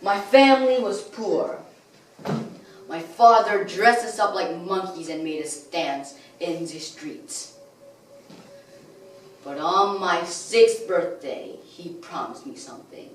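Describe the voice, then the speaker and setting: A young girl playing a bitter, grandiose male villain in a theatrical stage monologue, with dramatic pauses and swelling outbursts. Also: lowish voice. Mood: brooding storytelling. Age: child or young teenager, 13 or under. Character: melodramatic male soap-opera villain, bitter and grandiose crime lord. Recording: distant, echoey room with background noise.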